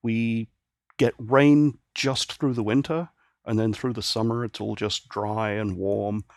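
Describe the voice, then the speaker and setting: A man's voice: on the low side, unhurried, no room sound, studio quality. A man, from Northern Ireland and raised in England, talking easily and at length as a podcast guest from his home office. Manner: relaxed, easygoing, explains at length with fillers. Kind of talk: casual interview chat.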